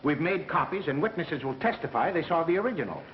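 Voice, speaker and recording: On a dull, faintly noisy vintage soundtrack, an actor plays a blustering male boss. He is peremptory and excitable, with a highish voice that leaps around in pitch. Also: fast, lightly acted. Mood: accusing. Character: blustering, accusatory, easily flustered male company boss. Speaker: actor in a film. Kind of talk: 1930s mystery film acting. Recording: muffled, noisy 1930s film soundtrack.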